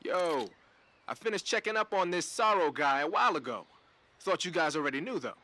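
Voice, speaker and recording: A man's voice: a little high, expressive, a little fast, bright, forceful tone, no room sound. An actor playing a laid-back, streetwise male tech specialist in a video game, talking casually with slang. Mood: casual, informative.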